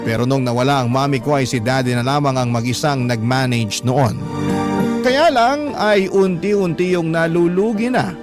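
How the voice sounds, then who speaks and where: A man's voice: mid-range voice, animated, normal speed. Man narrating over prominent music. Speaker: radio drama narrator. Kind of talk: dramatic reading of a listener's letter.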